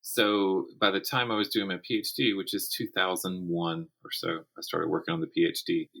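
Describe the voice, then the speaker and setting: Middle-aged male guest, low and bright, casual, thoughtful conversationalist. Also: little pitch movement, fairly quick, creaky. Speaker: guest, writer and college teacher.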